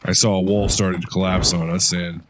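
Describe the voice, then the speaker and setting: A man's voice: deep voice, flat delivery, fairly quick, very noisy, close to an everyday voice. A man playing a hardened veteran soldier who talks in a blunt, profane way. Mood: gruff, recounting. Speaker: interrogated war veteran. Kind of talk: audio drama acting.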